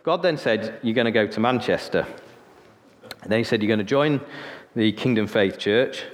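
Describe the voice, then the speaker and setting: Man, 40s, northern English accent, preacher, chatty testimony, fairly low voice, long hall echo. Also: expressive, normal speed. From Sheffield, northern England. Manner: chatty, storytelling, frequent "okay?" asides. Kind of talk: sermon with personal testimony.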